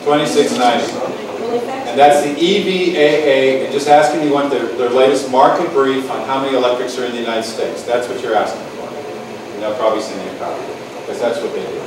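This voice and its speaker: A man's voice: mid-range voice, a little fast. A man moderating a lecture session, chatting casually and upbeat with lots of restarts before introducing the speakers. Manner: rambling, upbeat, full of restarts. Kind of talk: casual, joking lecture-hall chat. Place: lecture hall with audience. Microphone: distant room mic. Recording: echoey hall from far off, with background noise.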